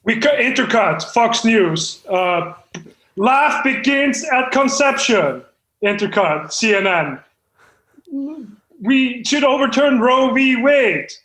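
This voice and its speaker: High-voiced, stammering would-be screenwriter played by a man. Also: lively intonation, fairly bright tone, heavily acted. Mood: dramatic reading. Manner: halting, stammering, earnest. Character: eccentric amateur screenwriter from Hungary, earnest and self-important. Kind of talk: improvised comedy table read. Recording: roomy, echoey room sound.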